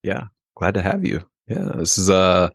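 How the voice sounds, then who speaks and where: A man's voice: low voice, big pitch swings, a little slow, creaky, no echo, clean studio sound, soft background music. A man hosting a podcast, friendly and affirming, chatting casually with his guest. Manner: friendly, affirming, lots of mm-hmms. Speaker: podcast host. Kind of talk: casual interview chat. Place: remote from Colorado.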